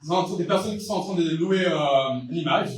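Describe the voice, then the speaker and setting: A man's voice: high-pitched, fast, a little hiss or hum. A young man from Brazil with a Brazilian Portuguese accent, preaching an informal, conversational sermon with hesitant restarts and many fillers. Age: young adult. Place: church hall. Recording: echoey church hall, distant mic, congregation. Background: congregation responding.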